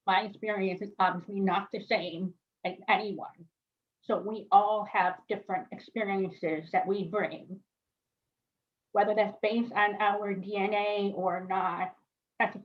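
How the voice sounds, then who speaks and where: A woman's voice: mid-range voice, muffled recording. A woman born in South Korea and raised near Chicago, a biologist telling personal stories on a panel in a thoughtful, reflective way. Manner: thoughtful, reflective, light laughs. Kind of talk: personal storytelling in a panel conversation.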